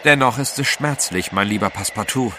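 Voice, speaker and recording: An actor playing an earnest, gallant gentleman adventurer in an audio drama, with a formal, dramatic manner. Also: on the low side, brisk, slight background noise. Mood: grave.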